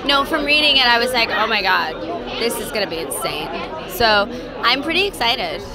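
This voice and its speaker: A woman's voice: fairly high pitch, lively intonation, moderate pace. A woman in her forties, an actress chatting candidly and with self-deprecating humor in a red-carpet interview, speaking into a handheld mic at an indoor event. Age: 40s. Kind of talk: casual red-carpet chat. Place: indoor event press wall, large hall. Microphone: handheld mic held by interviewer. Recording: loud crowd chatter behind.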